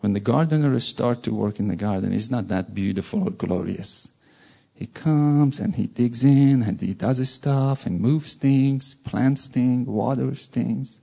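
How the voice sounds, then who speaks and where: Gravelly iranian guy preaching, persian accent, dark husky voice, jokes around. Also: medium pitch, measured pace. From Iran. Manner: animated, jokey asides. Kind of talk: informal sermon.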